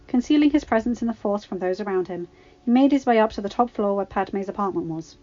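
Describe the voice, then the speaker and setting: A woman narrates a story in an expressive, scripted reading style. Her voice sits at a medium pitch, and the home recording is slightly dull, lacking some top end. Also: fast. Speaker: podfic narrator.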